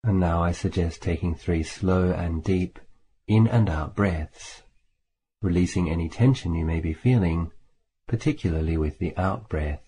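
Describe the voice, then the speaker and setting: A man leading a guided meditation as a teacher, speaking in a calm, measured way with long pauses between phrases. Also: deep voice, poor audio. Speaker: Buddhist monk, meditation teacher. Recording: faint background hiss.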